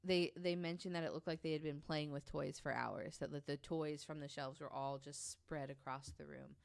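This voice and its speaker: A woman's voice: sits low, fairly even intonation, normal speed, vocal fry, no echo. A woman telling a true story casually on a podcast, reading quotes aloud in an informal, hesitant way.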